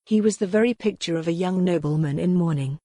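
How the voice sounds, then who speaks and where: A woman narrating a novel in a measured, even storytelling manner on a close mic. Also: on the low side, no echo. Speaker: audiobook narrator. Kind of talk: scripted narration.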